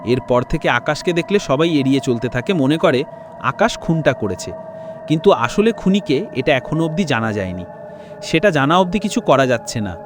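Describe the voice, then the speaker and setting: A man's voice: fairly high pitch, expressive, moderate pace, music in the background. A man narrating a scripted horror story for a cartoon.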